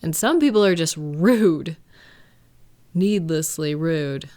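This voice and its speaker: A gentle live-in partner played by a woman speaks in a low-pitched voice. She is tender and reassuring, taking her time as if in a private one-sided conversation. It sounds like an intimate comfort roleplay audio. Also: expressive, takes it slowly. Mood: sympathetic, soft.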